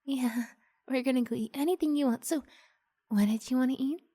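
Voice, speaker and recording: Woman playing a breathy, coaxing young vampire girl. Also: high-pitched, dry recording, studio quality, pitched above the performer's own voice. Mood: awkward, coaxing. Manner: hushed, halting, often slipping into breathy whisper. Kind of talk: ASMR roleplay, improvised.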